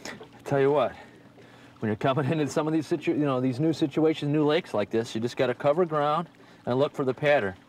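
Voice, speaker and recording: A man's voice: on the high side, fast, a little mellow, slight background noise. A man in his thirties hosting an instructional fishing show from a boat on a lake, upbeat and coaxing as he talks the viewer through his method. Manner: upbeat, coaxing the fish while reeling. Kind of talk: instructional outdoor fishing talk. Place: small boat on an open lake outdoors.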